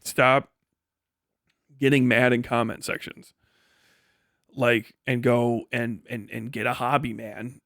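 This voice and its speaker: A man's voice: mid-range voice, flat delivery, slow, pauses often, a bit bright, no echo. Blunt, sweary man livestreaming, dry close sound. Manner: blunt, heavily profane. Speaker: livestream host and commentator. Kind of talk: casual livestream chat answering viewer messages.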